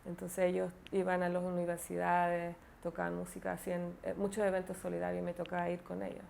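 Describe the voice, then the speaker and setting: A woman's voice: lowish voice, monotone, fairly quick, creaky, a little mellow, a little hiss or hum. A woman in a documentary interview, recalling her childhood hesitantly, with frequent fillers and English phrases slipped into her Spanish.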